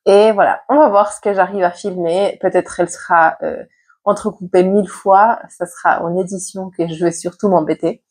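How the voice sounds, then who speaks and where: Woman, husky voice, stuffy with a cold. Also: lowish voice, steady tone, normal speed, a little mellow. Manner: chatty and candid, with frequent hesitation fillers. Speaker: vlogger and mother. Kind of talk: personal storytelling to camera. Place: at home. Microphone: external mic plugged into a camera.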